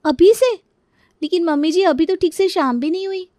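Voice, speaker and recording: A high, dry-recorded young daughter-in-law, played by a woman in a family audio story. She is whiny and exasperated, complaining with dramatic exclamations about household life. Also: moderate pace, dark tone, no room sound. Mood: dismayed. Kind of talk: acted Hindi family story dialogue.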